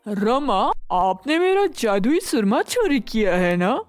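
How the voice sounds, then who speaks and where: Woman acting a gentle fairy queen, children's story, high pitch, very dry sound, stately, measured, kindly. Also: big pitch swings, no echo, faint music behind. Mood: admonishing.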